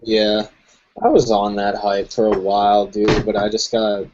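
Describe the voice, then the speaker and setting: A man's voice: fairly low pitch, flat delivery, unhurried. A man hosting a casual gaming chat, chatty and easygoing, laughing often between his thoughts. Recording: dull lo-fi stream audio with noise.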